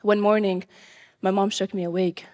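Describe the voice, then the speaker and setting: A young woman from Syria telling her own life story live, in an earnest, measured way. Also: medium pitch, little pitch movement, mellow.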